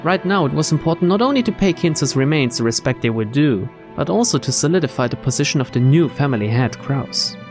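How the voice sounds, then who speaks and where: A man's voice: on the high side, animated, fast, few pauses. A man doing a game playthrough, reading visual novel narration aloud and adding his own asides. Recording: game music behind the voice.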